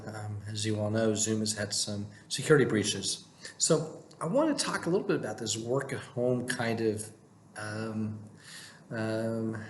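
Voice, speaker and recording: A man talking casually to camera as a vlogger giving advice, with halting delivery and frequent hesitations. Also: mid-range voice. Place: indoor room with plain wall, working from home. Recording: echoey room with faint background noise.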